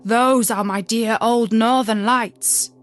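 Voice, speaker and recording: A woman's voice: a little high, fairly even intonation, takes it slowly. A woman voicing a proud, stately reindeer in a storybook, wistful for a snowy homeland.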